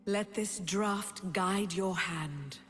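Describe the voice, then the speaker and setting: A woman's voice: medium pitch, a bit bright, faint music behind. A woman voicing a serene, commanding wind spirit with a solemn, goddess-like manner in a video game. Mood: gentle, reassuring. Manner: stately, solemn, deliberate.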